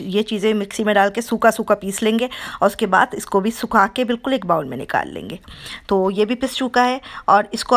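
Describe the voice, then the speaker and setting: A woman's voice: medium pitch, a bit bright. Homely woman explaining a home recipe. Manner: homely, repetitive explaining with frequent self-corrections. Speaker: home cooking channel host. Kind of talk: step-by-step cooking instructions. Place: home kitchen.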